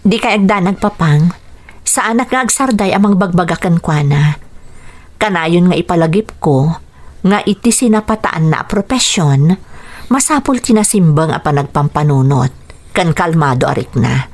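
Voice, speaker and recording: A woman's voice: lowish voice, animated, moderate pace, darkish tone. A woman with a Filipino accent acting a patient, dutiful young wife and daughter in a radio drama, earnest and soothing. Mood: reassuring.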